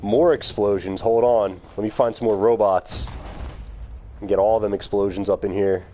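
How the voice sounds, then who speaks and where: A man's voice: fairly low pitch, fairly even intonation, moderate pace, dark tone, a little hiss or hum. A man streaming a video game, chatting casually with his viewers and calling them by name. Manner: chatty, talks to chat viewers by name, laughs often. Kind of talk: casual live-stream commentary.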